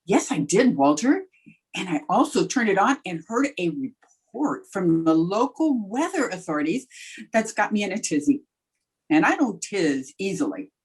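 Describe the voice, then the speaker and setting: Wry female schoolteacher played by an actress: mock-grand, wisecracking, lively melodic swings, husky edge, radio sitcom. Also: medium pitch, normal speed, low-quality recording. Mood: flustered, wry. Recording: remote video-call recording.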